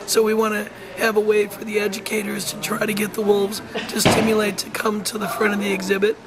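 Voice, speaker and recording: Hoarse, halting narrator with a flat voice. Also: medium pitch, very noisy. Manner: halting, frequent ums and restarts. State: hoarse, losing voice. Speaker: wolf center educator. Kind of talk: informal voice-over narration.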